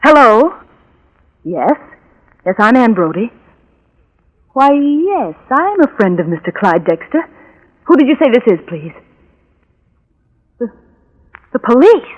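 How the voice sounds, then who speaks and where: A woman's voice: on the high side, sing-song, slow, pauses often, full character voice. Intense, lovelorn woman, old radio. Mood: anxious, polite. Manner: intense, fervent, brooding, breaking into repeats. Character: obsessive, lovelorn, bitter woman convinced of her willpower. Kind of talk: 1940s radio drama acting. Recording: dull vintage 1940s radio recording.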